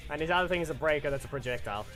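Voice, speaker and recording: Gamer dude, high bright voice, hyped calling out his combos and roasting randoms, quiet game music behind. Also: rapid-fire. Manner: excitable, calls out moves and trash-talks opponents. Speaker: gaming channel host. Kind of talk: live gameplay commentary.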